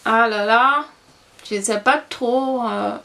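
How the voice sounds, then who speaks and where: A young Haitian woman with a Haitian Creole accent talks to her camera in an easygoing vlog. She speaks at an unhurried pace in a fairly high voice. She thinks aloud as she answers and is easily amused. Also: vocal fry, pushed and bright. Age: young adult. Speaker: YouTuber. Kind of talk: casual vlog, answering tag questions.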